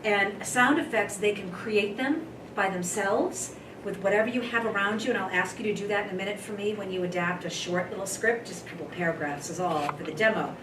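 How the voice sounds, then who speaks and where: A woman drama teacher leading a hands-on workshop, coaching and demonstrating in a theatrical, animated way. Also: medium pitch, fairly quick. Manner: theatrical, animated, demonstrates lines in exaggerated delivery. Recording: echoey room, distant mic, noisy background.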